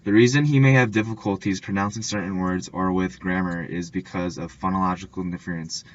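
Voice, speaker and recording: A man's voice: low voice, steady tone, fairly quick, lo-fi sound. A man, a student presenter, reading scripted narration of a school report aloud.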